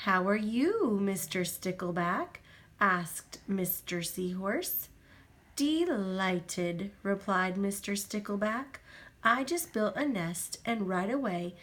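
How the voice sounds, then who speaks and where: Adult woman reading a picture book to a small child; slow, very expressive pitch, gentle sing-song delivery. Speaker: storyteller reading aloud to a child.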